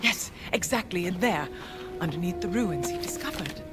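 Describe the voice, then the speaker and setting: A woman's voice: lowish voice, expressive, moderate pace, over loud music, lightly acted. An actress playing a worldly, theatrical woman, a shrewd and protective friend, in a cinematic game. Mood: earnest. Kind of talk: cinematic video game acting.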